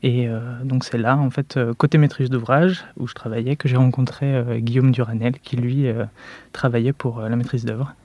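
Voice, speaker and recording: A man's voice: mid-range voice, steady tone, normal speed, darkish tone, no room sound. A man from France, an architect, recounting his career in an interview with many hesitations. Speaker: architect, office co-founder.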